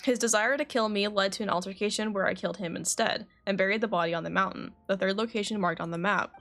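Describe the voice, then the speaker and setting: A woman reading the part of a calm male alchemist in a game, measured and explanatory. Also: mid-range voice, faint music behind, lightly acted. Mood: calm, matter-of-fact.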